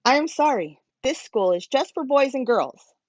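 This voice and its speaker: A woman's voice: high voice, big pitch swings, moderate pace, a little mellow, no echo, muffled recording, pitched above the performer's own voice. A woman voicing a friendly, curious young male seal in a children's storybook, polite and eager.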